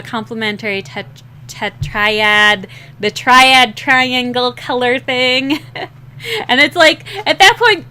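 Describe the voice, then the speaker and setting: Tired young woman chatting while she draws, kinda rough and scratchy, high-ish voice, laughs at herself a lot. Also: pushed and bright. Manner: rambling, giggly, self-deprecating. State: sleep-deprived. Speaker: digital artist streaming a drawing session. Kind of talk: casual chat while drawing.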